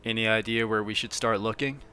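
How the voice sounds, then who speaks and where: Low-pitched recruit hero, voiced by a man, music behind. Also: fairly even intonation, brisk, pushed and bright. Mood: questioning. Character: young male recruit soldier hero, curious, grateful. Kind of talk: amateur video game protagonist dub.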